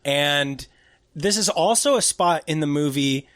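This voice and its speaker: A man in his thirties co-hosting a casual podcast chat, excitable and animated, with gasps and quick asides. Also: a little high, dry recording, studio quality. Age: 30s. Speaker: podcast co-host.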